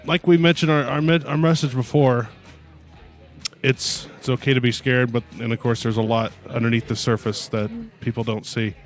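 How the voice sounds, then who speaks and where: A young man with a booming voice hosts a casual podcast chat and keeps rambling from one topic to the next. He laughs a lot and sits at a middling pitch. Faint music plays underneath. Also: fairly even intonation, moderate pace. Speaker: podcast host.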